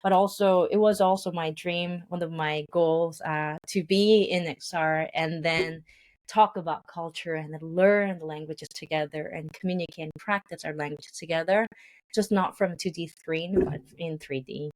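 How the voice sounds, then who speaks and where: A woman with a fairly low voice, interviewed as a company founder. She explains her plans earnestly and thinks aloud, often restarting with "uh". Her talk is steady and conversational. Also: moderate pace. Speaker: founder of a language game company, guest.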